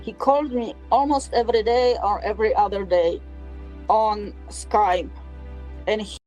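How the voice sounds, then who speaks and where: A woman in her sixties from Poland with a Polish accent, telling her own story in an interview and often pausing on fillers. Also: mid-range voice, darkish tone, faint music behind, rough recording. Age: 60s. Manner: halting, with frequent fillers.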